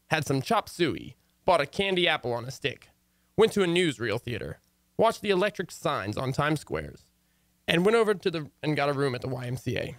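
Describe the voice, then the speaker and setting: A man's voice: medium pitch, a bit bright, dry recording. Man acting a dry, wisecracking exasperated radio husband. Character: exasperated, wisecracking husband who narrates his own marital troubles. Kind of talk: old-time radio comedy acting and narration.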